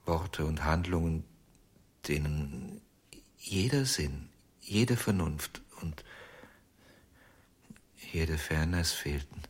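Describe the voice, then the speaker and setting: Radio crime drama: haunted, jealous ventriloquist. Deep, breathy, very slow and halting delivery. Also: male voice, full character voice. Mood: halting, tormented. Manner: halting, haunted, breaking into near-whispers. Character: anguished, jealous male ventriloquist confessing to lost love.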